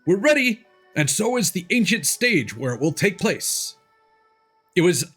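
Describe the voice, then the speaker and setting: A man's voice: high-pitched, big pitch swings, a little slow, a bit bright, husky, soft background music, full character voice. A man voicing small, earnest, childlike forest spirits as he reads game dialogue aloud in a singsong storybook way. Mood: eager, solemn.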